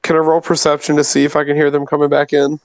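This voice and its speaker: Higher-pitched, fairly even-toned male player. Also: quick pace, dry recording. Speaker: tabletop game player. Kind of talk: casual in-game chat.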